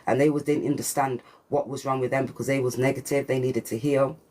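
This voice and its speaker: A woman with a deep voice giving a channelled spiritual reading, preachy and repetitive, with swearing and slang. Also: steady tone, a little fast, a little mellow. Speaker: spiritual reader. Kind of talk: channelled collective reading monologue.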